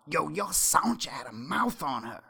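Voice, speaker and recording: A high, snarling gang leader. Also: male voice, very animated, unhurried, vocal fry, bright, forceful tone, slightly rough, exaggerated voice. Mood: mocking. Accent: put-on Mexican Spanish accent. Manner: swearing, threatening, taunting. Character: menacing male street gang leader, hot-tempered and mocking.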